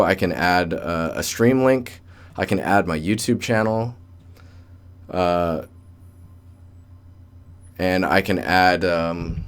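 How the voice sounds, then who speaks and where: A young man hosting a casual livestream, rambling and joking in a colloquial way as he gives commentary. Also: low-pitched. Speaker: livestream host, digital artist. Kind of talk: casual livestream chat and portfolio critique. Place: indoor room with door closed.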